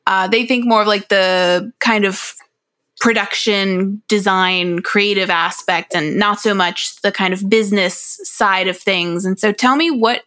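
A woman's voice: medium pitch, steady tone, measured pace, fairly bright tone, no room sound. A woman hosting a podcast in casual chat, sounding slightly nasal from a cold and laughing often. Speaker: podcast host.

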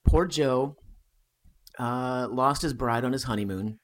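Male podcast guest, average pitch, casual storyteller in easy conversation. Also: slow. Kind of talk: casual chat, storytelling about history.

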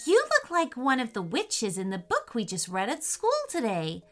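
A woman voicing a curious, excitable little schoolgirl in a children's story, eagerly exclaiming and questioning. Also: fairly high pitch, moderate pace, faint music behind. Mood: curious, excited.

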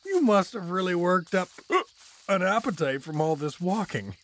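A man's voice: high-pitched, big pitch swings, moderate pace, fairly bright tone. A man playing a drunk, good-natured, bumbling dad adventurer in an audio drama, rambling and stumbling over words. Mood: tipsy.

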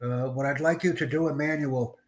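A man runs a live online English lesson over a video call. His pitch sits in an ordinary middle range, and he talks in an upbeat, repetitive way, tossing in simple Spanish greetings. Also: fairly even intonation, moderate pace, a little hiss or hum. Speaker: English teacher streaming a live class. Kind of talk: casual live-stream chat.

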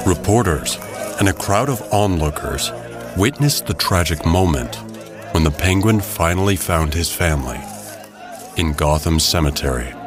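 Man, narrator, deep voice, scripted dramatic storytelling, music underneath. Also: lively intonation, normal speed.

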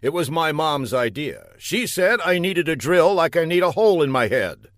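A man voicing a dim, wisecracking hero in a comic audiobook, earnest, deadpan and naively unfazed. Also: fairly high pitch, normal speed, dry recording, studio quality, voice pushed up, lightly acted. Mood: jokey.

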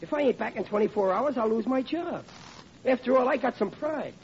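An actor playing a hapless, well-meaning working-class American bridegroom in an old radio comedy, with folksy, ungrammatical, easily flustered speech. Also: male voice, extremely high pitch, animated, a little fast, gravelly, heavily acted. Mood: sheepish. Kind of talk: old-time radio situation comedy acting. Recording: muffled, noisy vintage radio recording, audience behind.

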